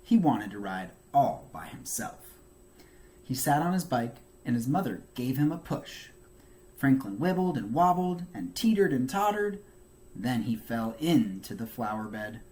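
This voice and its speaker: Male storybook narrator, teacherly, expressive and emphatic, widely varied pitch. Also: a little slow. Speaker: teacher reading a children's book aloud.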